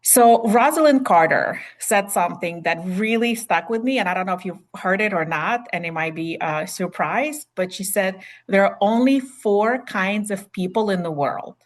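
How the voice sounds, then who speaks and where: A middle-aged woman with a Ukrainian accent talks through a webinar as a nurse practitioner. Her delivery is chatty and loose, with frequent fillers, restarts and asides. She is heard over a video call at a medium pitch. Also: normal speed, few pauses. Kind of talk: webinar presentation.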